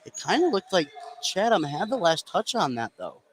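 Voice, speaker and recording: A man's voice: high voice, normal speed. A man doing live play-by-play radio commentary of a basketball game, his voice lifting as the action heats up. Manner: voice rises excitedly as shots go up. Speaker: play-by-play sports announcer. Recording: clearly noisy gym ambience. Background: sports gym noise.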